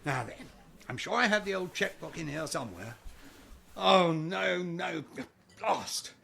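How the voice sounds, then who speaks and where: Man acting creaky, gallant older gentleman. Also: high-pitched, animated, unhurried, a little hiss or hum, full character voice. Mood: blustering, embarrassed. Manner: hearty old-fashioned gentlemanly talk. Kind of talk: radio play acting.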